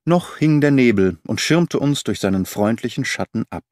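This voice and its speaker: A man narrating a classic detective story in the first person, measured and expressive. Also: medium pitch, no echo, studio quality. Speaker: audiobook narrator. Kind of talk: scripted first-person narration of a German audiobook.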